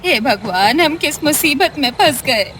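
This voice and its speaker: A woman's voice: high voice, lively intonation, unhurried, fairly bright tone. A woman playing frightened, protective young female relatives in a melodramatic story, anxious and urgent. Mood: fearful.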